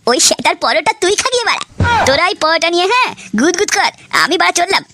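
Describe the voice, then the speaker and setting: A man voicing a hot-headed, foul-mouthed young cartoon man who rants and swears constantly, through a pitched-up effect. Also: sing-song, fast talker, background noise. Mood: furious ranting. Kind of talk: comedy cartoon dialogue.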